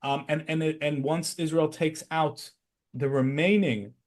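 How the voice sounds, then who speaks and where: Higher-voiced man giving political analysis. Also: fairly even intonation, a little slow. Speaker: podcast host and security analyst.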